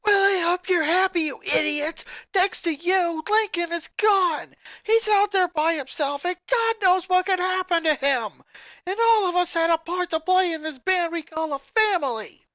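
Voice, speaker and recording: A fanfiction reader voicing a teenage girl, an older sister and musician, who shouts in anger and shame at her family. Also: extremely high pitch, moderate pace, dry recording, voice pushed up. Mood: angry shouting. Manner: angry, then shaken and tearful.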